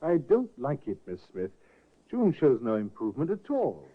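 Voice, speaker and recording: An actor in a 1950s radio drama playing a suspicious cousin and a meek servant, in the old radio acting style. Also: male voice, mid-range voice, wildly swooping pitch, moderate pace. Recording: tinny old radio broadcast.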